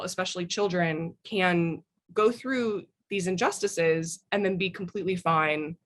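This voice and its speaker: A woman's voice: fairly low pitch, fairly bright tone. A woman speaking as a guest author, giving thoughtful answers in a book interview.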